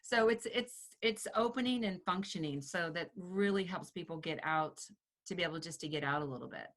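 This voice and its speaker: A woman with a Texan accent, chatting lively and expressively as a real estate agent on an interview panel. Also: fairly low pitch. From upstate New York, raised in Texas. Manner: lively and expressive, quick to laugh. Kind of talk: casual interview chat.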